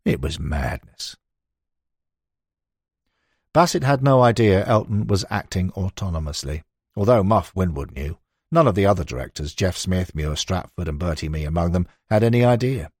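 A man narrates a sports history audiobook in a lower-pitched voice. His delivery is expressive, with lively swings in intonation, and the recording is close and dry. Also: brisk, dry recording.

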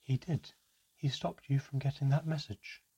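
A man's voice: medium pitch, fairly even intonation, normal speed, warm tone, breathy edge, dull audio, voice pushed up. A man voicing a bitter, hurt companion.

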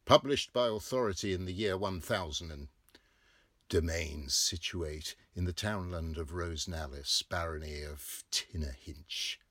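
Man putting on a pompous, booming official proclaimer. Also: measured pace, a bit bright, no echo, studio quality. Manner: pompous, declamatory. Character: pompous official male voice proclaiming newspaper announcements.

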